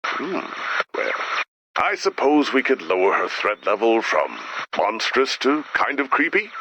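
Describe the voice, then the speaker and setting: A man playing a stern, dryly skeptical commander in a video game, formal and deadpan. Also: medium pitch, unhurried, noisy background. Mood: dry skepticism. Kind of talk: animated video game character dialogue.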